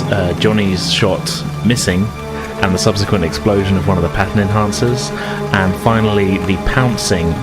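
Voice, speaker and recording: A man hosting and narrating an improvised tabletop role-playing game as game master. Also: deep voice. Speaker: game master and podcast host.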